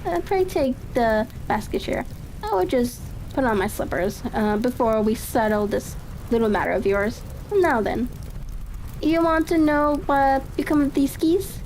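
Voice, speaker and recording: Storybook gentleman detective, played by a woman reader: high pitch, polite, assured, cheery, faint ambient noise behind. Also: unhurried, low-quality recording. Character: confident, courteous gentleman detective, gleefully all-knowing.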